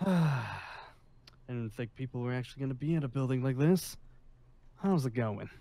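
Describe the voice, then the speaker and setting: A man's voice: mid-range voice, animated, normal speed, gravelly, dark tone, no echo. A man playing a smug, menacing colonel who leads a survivor haven, with a commanding, persuasive manner in improvised game roleplay. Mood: smug, calm.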